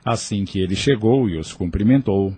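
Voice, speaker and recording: A man narrating an audio drama in a measured storytelling manner. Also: on the low side, mellow, breathy edge.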